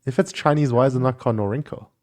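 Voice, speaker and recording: A man's voice: medium pitch, rapid-fire, darkish tone, no echo, studio quality. A man co-hosting a podcast in casual, conversational back-and-forth. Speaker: podcast co-host. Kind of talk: casual chat.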